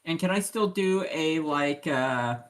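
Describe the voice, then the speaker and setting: A man's voice: on the high side, expressive, takes it slowly. A man chatting casually as a player in a tabletop game, often hesitant and asking questions.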